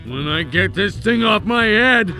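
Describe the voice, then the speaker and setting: High, sharp-voiced little pirate bully. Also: male voice, expressive, a little slow, soft background music, heavily acted, poor audio. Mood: furious. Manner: snarling, aggressive, slangy. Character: small bullying male pirate thug, short-tempered and menacing. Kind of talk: cartoonish video game voice acting.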